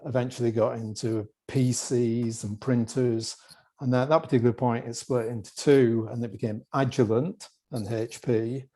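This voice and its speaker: A man from the UK with a British accent, a company executive answering interview questions in a relaxed, chatty way over a video call, with joking asides. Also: medium pitch. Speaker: guest executive.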